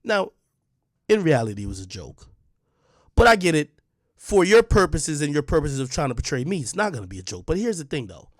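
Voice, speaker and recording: A young man rants hard and confrontationally, hammering the same points over and over. His voice sits a touch high, and the sound is dry and close with no room around it. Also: big pitch swings, fairly quick, dry recording. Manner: confrontational, heated, repeats points. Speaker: online media personality. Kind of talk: direct-to-camera rant.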